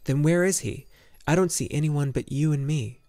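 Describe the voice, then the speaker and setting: A man's voice: on the high side, normal speed, dark tone, voice pushed up, close to an everyday voice. A man voicing an earnest, bewildered young hero in a fantasy audiobook, speaking in an urgent, questioning, emphatic way. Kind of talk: fantasy audiobook character dialogue.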